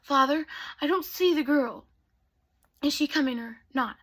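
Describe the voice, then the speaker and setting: Woman doing an eager young storybook prince, earnest, slightly high, husky. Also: steady tone, normal speed.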